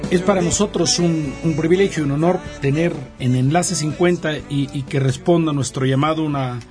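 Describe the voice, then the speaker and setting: A man's voice: on the high side, fairly quick, over music. A man hosting a TV talk show, joking with his co-host and formally introducing a guest.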